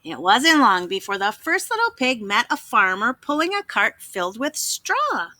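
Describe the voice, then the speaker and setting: A woman storyteller giving an expressive, dramatic read-aloud narration for children. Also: fairly high pitch, normal speed, dry recording.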